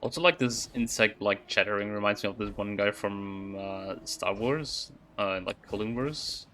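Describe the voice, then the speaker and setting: A man's voice: fairly low pitch, a little slow, sharp tone, a little hiss or hum. A man giving casual livestream commentary as he plays a video game. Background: faint game music.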